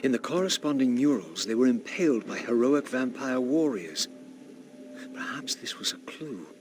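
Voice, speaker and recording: An actor playing a brooding, aristocratic vampire who narrates his thoughts in a formal, measured, portentous way for a video game. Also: mid-range voice, expressive, background noise, natural voice. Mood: solemn, brooding. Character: brooding, solemn male vampire, weary and aristocratic. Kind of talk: scripted video game narration, introspective monologue.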